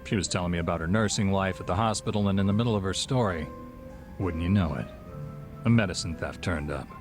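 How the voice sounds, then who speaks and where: An actor playing a calm, shrewd, discreet bartender in a video game, speaking in a measured, dry manner. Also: male voice, low-pitched, music in the background, close to an everyday voice. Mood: measured, knowing. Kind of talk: dramatic game cutscene acting.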